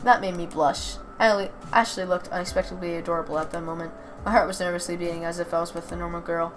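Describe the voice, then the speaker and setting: A woman's voice: fairly low pitch, steady tone, fast, faint music behind. A woman reading a story aloud with casual asides, stumbling over words and correcting herself. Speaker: reader of a visual novel playthrough. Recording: clear background noise.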